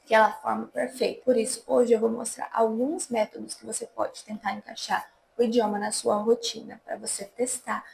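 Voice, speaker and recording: A woman's voice: on the high side, moderate pace, gravelly, slight background noise, low-quality recording. A young woman vlogger giving casual, chatty language-study advice straight to her viewers. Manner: chatty, lively, addresses viewers as gente. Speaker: language-learning YouTuber. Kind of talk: casual talking-to-camera advice.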